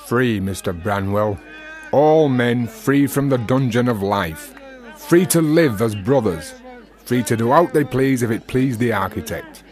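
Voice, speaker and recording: An actor playing an earnest working-class Victorian Freemason in a radio drama, holding forth in high-flown, archaic, declamatory phrases. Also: male voice, lively intonation, measured pace, a little mellow, close to an everyday voice. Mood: preaching. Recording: music under the voice.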